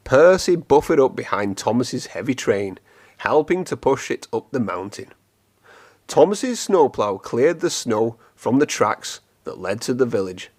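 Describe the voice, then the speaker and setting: A man reading a children's storybook aloud in an expressive, clearly phrased storytelling manner. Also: medium pitch, measured pace. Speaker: storybook reader.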